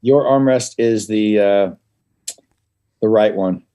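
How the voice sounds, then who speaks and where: A man's voice: lowish voice, slow, dark tone, clear, resonant tone, lo-fi sound. A middle-aged man, a comedy writer and actor, chatting as a podcast guest in a rambling, self-interrupting way with dry jokes.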